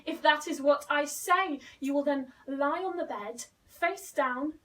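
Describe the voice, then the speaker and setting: A woman acting a stern, contemptuous dominatrix in an amateur play, giving orders in a scornful, deliberate manner. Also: sits high, sharp tone, distant mic. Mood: scornful, commanding. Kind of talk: amateur stage play acting, recorded at home.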